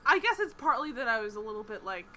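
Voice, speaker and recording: A woman chatting casually about a TV show, animated and rambling, laughing often and swearing. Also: a little high, quick pace. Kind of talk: casual chat recapping a TV show.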